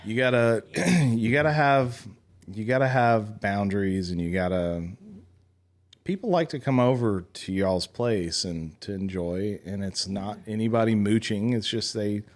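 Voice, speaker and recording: A man's voice: on the low side, a little slow. A man in his forties hosting a podcast as a casual, blunt, joking chat. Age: 40s. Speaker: podcast host.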